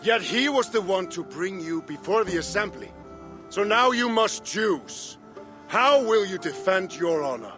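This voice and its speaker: A man playing a stern, commanding Viking jarl in a video game, speaking with measured authority. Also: sits high, sing-song, pushed and bright, faint music behind, full character voice. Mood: grave. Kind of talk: dramatic video game acting.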